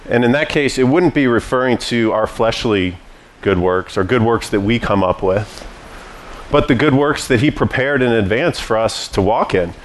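A man preaching a sermon as pastor, teaching in a conversational way with frequent restarts. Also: mid-range voice.

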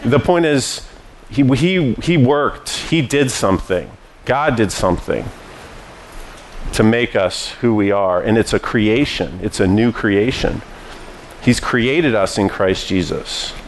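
A man's voice: fairly low pitch, animated, takes it slowly. Guy preaching, talky teaching style, restarts a lot, bit of hiss behind. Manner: conversational teaching with frequent restarts. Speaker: pastor. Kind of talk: sermon.

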